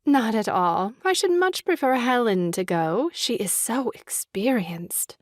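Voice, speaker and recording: An actress playing a brisk, earnest and slightly superior young woman in a theatrical audio farce. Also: a little high, lively intonation, dry recording, studio quality. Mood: huffy. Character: brisk, opinionated young Englishwoman, sister of new father.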